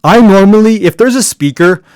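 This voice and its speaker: Loud, deep-voiced man giving casual pep talk. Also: lively intonation, normal speed, smooth, dry recording, studio quality. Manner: casual, encouraging, with many fillers and restarts. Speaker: podcast speaker giving networking advice. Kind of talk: motivational talk.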